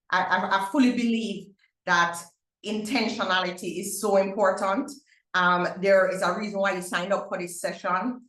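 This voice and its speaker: A woman's voice: on the low side, a bit bright, roomy sound, rough recording. A middle-aged Jamaican woman hosting a parents' webinar, upbeat, with many fillers and restarts. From Barbados. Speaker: webinar host. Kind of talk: webinar info session.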